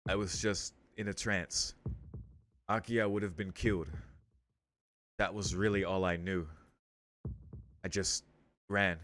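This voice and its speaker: A man's voice: deep voice, fairly bright tone, a little hiss or hum. A man hosting a let's play, reading visual novel text aloud and breaking in with casual, slangy commentary. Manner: interjects with casual slang and swearing.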